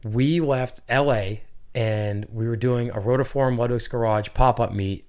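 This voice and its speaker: A man's voice: mid-range voice, unhurried, smooth. A man hosts a casual podcast, spinning a long story about a trip and a friend. He slips into the voices of the people he quotes and keeps the talk loose and chatty. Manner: animated storyteller, acts out quotes. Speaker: podcast host.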